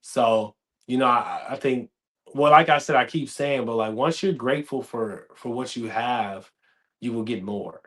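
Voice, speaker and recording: A man in his thirties hosting a casual podcast chat, telling stories with lively acted-out quotes. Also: mid-range voice, measured pace. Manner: animated storytelling, acts out quoted dialogue. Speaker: podcast host.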